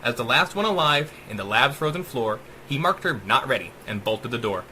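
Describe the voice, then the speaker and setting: A man narrating a dark rhyming story as a dramatic audiobook, with theatrical, emphatic rhythm. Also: mid-range voice, expressive, a little fast, a little hiss or hum.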